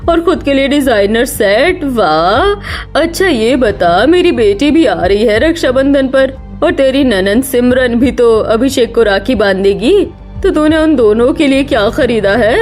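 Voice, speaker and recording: A woman's voice: a little high, expressive, a little slow, a little mellow. A woman performs an older meddling aunt from a cartoon melodrama. She taunts and grumbles with heavy sarcasm, always ready to quarrel, over faint music. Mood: sarcastic. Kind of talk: Hindi cartoon family melodrama.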